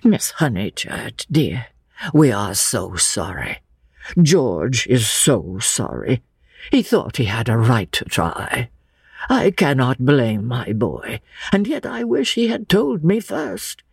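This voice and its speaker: A narrator voicing a frail, gentle, sorrowful old gentleman with a quavering, halting delivery, in a storybook reading. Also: mid-range voice, very animated, husky, no room sound, studio quality, exaggerated voice. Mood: sorrowful, apologetic. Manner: slow, halting, sighing.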